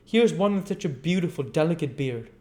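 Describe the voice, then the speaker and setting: A man's voice: fairly high pitch, animated, fairly quick, darkish tone, pitched above the performer's own voice, close to an everyday voice. A man voicing a fawning young female servant who coaxes and dotes. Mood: doting. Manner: coaxing, doting.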